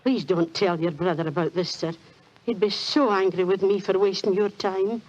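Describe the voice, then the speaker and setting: A woman's voice: medium pitch, moderate pace, noisy background, natural voice. An actress with a British accent playing a humble, apologetic landlady in 1940s radio style. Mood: sheepish, grateful. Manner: deferential, apologetic. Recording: muffled 1940s radio recording.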